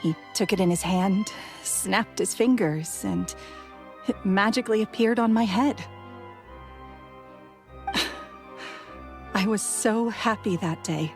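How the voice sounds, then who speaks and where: A woman playing a widow who remembers her late husband in a gentle, wistful, soft-spoken way, over faint game music. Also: mid-range voice, slow, long pauses. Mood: tender.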